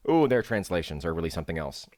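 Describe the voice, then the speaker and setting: A man giving casual, rambling game commentary as a streamer, halting and hesitant, with dry, wry remarks. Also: low voice, sing-song, a little mellow. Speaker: speedrunner and streamer, host. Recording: roomy echo, faint game music behind.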